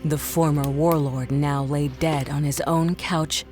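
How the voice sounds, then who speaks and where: A woman narrates an adventure audio drama in a very low voice. Her scripted, dramatic storytelling sits over prominent music. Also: fairly even intonation, unhurried, a little mellow.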